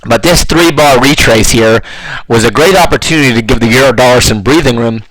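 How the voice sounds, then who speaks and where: A man with a slightly hoarse voice, walking through trading charts in a loose, casual way with lots of fillers. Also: medium pitch, fairly quick, fairly bright tone, no echo, low-quality recording. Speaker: forex trading analyst.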